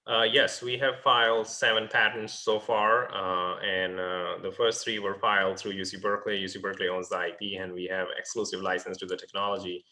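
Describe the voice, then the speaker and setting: A man founding a startup fields investor questions on a video call. His voice sits very low and stays flat, with a bright, forceful edge. He hesitates often, filling gaps with "uh" and restarting phrases. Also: a little fast, hardly any pauses. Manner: hesitant, many fillers and restarts.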